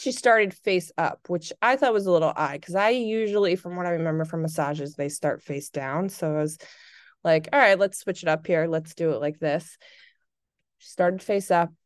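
A young woman with a slightly low, clean and smooth voice chats as a podcast host. She tells everyday stories casually and plays them up for comedy. The sound is close and dry. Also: no room sound. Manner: chatty, exaggerating for comic effect. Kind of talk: casual personal storytelling.

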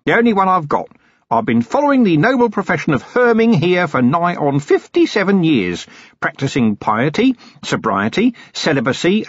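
A man's voice: a little high, no echo, voice pushed up. A man voicing a cheerful, talkative old male hermit who rambles eagerly and deferentially. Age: older. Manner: eager, rambling, deferential, chuckling at his own jokes. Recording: dull, dry, lo-fi recording.

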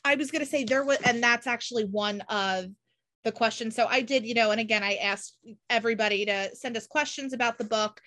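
A woman's voice: fairly high pitch, brisk, pushed and bright. A woman of about thirty hosting a casual podcast chat, emphatic and peppering her talk with "like". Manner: emphatic, frequent "like" filler. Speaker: podcast host.

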